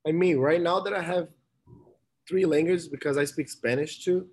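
A young man from Brazil with a Brazilian Portuguese accent, speaking halting English as an interview guest. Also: on the high side, darkish tone, slightly rough. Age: young adult. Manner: halting, searching for words. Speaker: interviewee, college swimmer. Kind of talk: casual interview talk.

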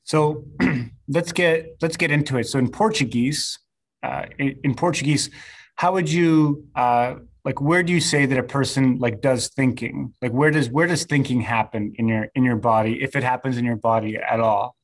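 A man's voice: medium pitch, normal speed. A man whose first language is Serbo-Croatian, interviewing a guest in a chatty way with lots of fillers. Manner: friendly, chatty, many fillers. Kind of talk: casual interview.

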